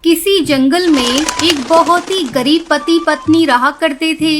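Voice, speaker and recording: Very high-pitched woman storyteller. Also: a little slow. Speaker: narrator. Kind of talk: scripted children's story narration. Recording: music behind the voice.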